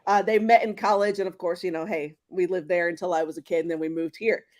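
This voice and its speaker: A woman's voice: on the low side, steady tone, quick pace, a little mellow. A woman from Houston hosting a sports podcast, chatting casually with lots of fillers and restarts. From Houston, Texas. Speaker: podcast host.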